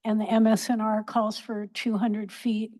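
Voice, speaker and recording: A woman's voice: fairly high pitch, little pitch movement, a little slow, a little mellow. A woman on a city board asking pointed, detailed questions at a public hearing. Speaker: board member. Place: council chamber.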